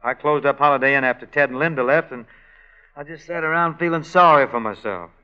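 A man's voice: mid-range voice, a little fast, clear, resonant tone, muffled recording, natural voice. An actor playing a lovesick innkeeper who tells his story wryly and easily, in 1940s radio acting on an old broadcast. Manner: wry, easygoing storytelling. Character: lovesick, wry male innkeeper and singer.

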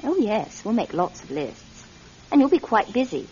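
An actress in a radio drama playing a bossy, cold-blooded, scheming sister. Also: female voice, mid-range voice, sing-song, moderate pace, mellow, breathy edge. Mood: coolly scheming. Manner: domineering, conniving, insistent. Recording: dull vintage radio recording, faint music.

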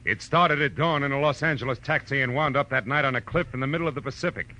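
An actor playing a laconic, wisecracking private detective with an American accent, in the style of 1940s radio drama. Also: male voice, mid-range voice, quick pace, sharp tone, close to an everyday voice, rough recording. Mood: dramatic. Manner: dry, wisecracking, clipped. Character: wry, laconic hardboiled male private detective narrating his case. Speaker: lead actor and narrator. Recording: old radio recording with music underneath.

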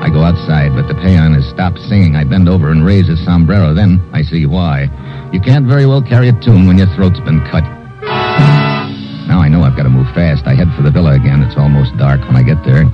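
A man's voice: a bass voice, fairly quick. A man with an American accent playing a tough, terse adventurer who narrates his own exploits in an old-time radio drama. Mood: tense, terse. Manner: terse, hard-boiled. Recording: muffled vintage radio recording, loud music.

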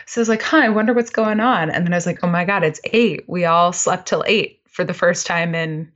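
A woman in her thirties, a guest on a podcast, chatting in an animated way and laughing easily. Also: lowish voice, brisk, lo-fi sound. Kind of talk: casual chat.

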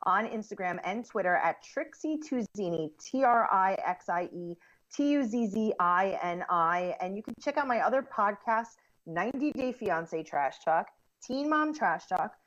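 A woman's voice: mid-range voice, expressive, normal speed, a bit bright, lo-fi sound. A woman comedian guesting on a podcast, chatting casually and upbeat.

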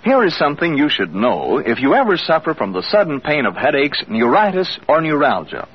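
Old timey radio ad guy, polished and emphatic, pitch swings a lot, muffled sound. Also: wildly swooping pitch, a little fast, crowd noise, noisy background. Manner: polished, emphatic sales delivery. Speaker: radio announcer. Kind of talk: 1940s-50s radio ad announcing.

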